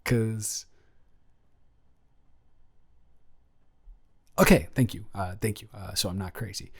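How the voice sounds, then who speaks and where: A man playing a flustered young best friend in love, halting and self-deprecating, in an improvised romantic audio roleplay. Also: fairly low pitch, creaky, breathy edge. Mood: awestruck, giddy.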